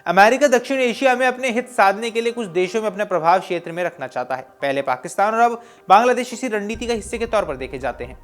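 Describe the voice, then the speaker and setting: A man's voice: sits high, lively intonation, fast, few pauses. A man presenting scripted news in an emphatic, explanatory way. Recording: voice over music bed. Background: background music.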